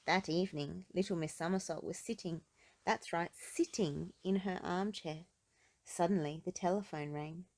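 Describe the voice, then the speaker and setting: A woman narrating a children's picture book aloud in an expressive storytelling style. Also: lowish voice, moderate pace.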